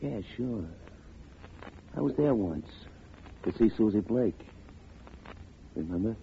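An actor playing a blunt, casually menacing henchman in a 1940s radio crime drama, talking in rough gangster slang. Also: fairly low pitch, lively intonation, slow, full character voice. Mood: suspicious. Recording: muffled, noisy old radio recording.

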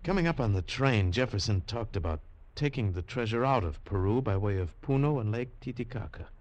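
A man's voice: fairly low pitch, animated, fairly quick, darkish tone, dull audio. A man as hero and narrator of a 1950s radio adventure drama, telling the story in an earnest, urgent way.

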